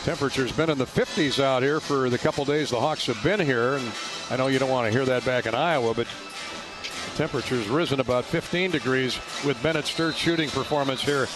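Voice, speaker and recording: A man's voice: medium pitch, a little fast, music in the background, low-quality recording. A man doing live radio play-by-play of a basketball game in a folksy, colorful way. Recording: loud arena noise behind courtside radio call. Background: ball bounces and gym ambience.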